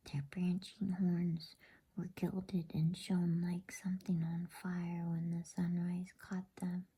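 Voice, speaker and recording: A woman reading a bedtime story aloud as ASMR, in a soft, slow and calm unvoiced whisper. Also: fairly low pitch, slightly rough.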